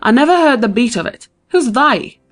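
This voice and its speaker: A man's voice: very high-pitched, lively intonation, normal speed, a bit bright, voice pushed up. A male narrator voicing a fussy, hot-tempered older farm aunt who scolds and exclaims in folksy speech. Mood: indignant.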